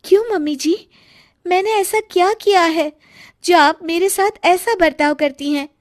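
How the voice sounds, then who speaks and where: A woman's voice: very high voice, moderate pace, no echo, clean studio sound. A woman voicing a sweet, pleading young daughter-in-law in a cartoon family story. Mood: hurt, protesting. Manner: pleading, respectful, emotional. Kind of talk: Hindi moral-story cartoon acting.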